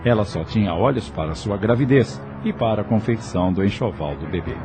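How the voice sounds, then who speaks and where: A man's voice: fairly low pitch, animated, brisk, loud music behind the voice. A man narrating a scripted audio novel in a dramatic storytelling manner.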